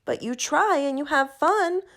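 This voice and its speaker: A woman voicing a kindly, reassuring turtle father in a storybook, with a gentle, encouraging manner. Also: high-pitched, lively intonation, measured pace, voice pushed up, exaggerated voice.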